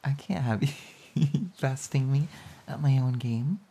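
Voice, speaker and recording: A woman playing a playful, pouty, affectionate girlfriend in an intimate romantic audio roleplay, speaking softly and hesitantly with a breathy voice. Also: rumbling low, slow, full character voice. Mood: tender, reassured. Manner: soft, giggly, hesitant, cooing.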